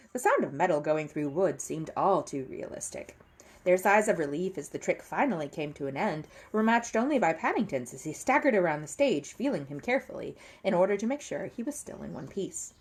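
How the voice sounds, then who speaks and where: A woman reading a children's story aloud as an expressive, animated narrator. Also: lowish voice, brisk, husky. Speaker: storybook reader.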